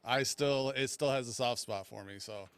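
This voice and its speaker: A man's voice: medium pitch, moderate pace, a bit bright, clean tone. A man co-hosting a podcast in casual chat, rambling and hesitant in an easygoing way. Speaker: podcast co-host.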